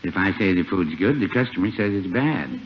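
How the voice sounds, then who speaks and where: An actor playing a mild, dry old small-town druggist who needles with a deadpan, folksy chuckle in a 1950s radio comedy. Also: male voice, sits low, a little fast. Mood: slyly teasing. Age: older. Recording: muffled, noisy 1950 radio broadcast.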